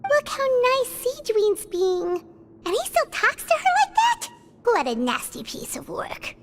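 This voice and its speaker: A woman voicing a tiny childlike floating companion in a video game, excitable, blunt and eager, with a squeaky delivery. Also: measured pace, heavy background noise, exaggerated voice. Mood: indignant. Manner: squeaky, exclamatory, indignant or eager. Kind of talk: English video game voice acting.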